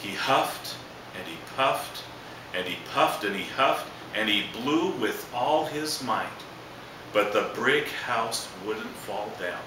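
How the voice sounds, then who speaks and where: Slow-talking male storyteller in an echoey room. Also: medium pitch, lively intonation. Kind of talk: children's storybook read-aloud with asides. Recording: echoey, distant, noisy room recording.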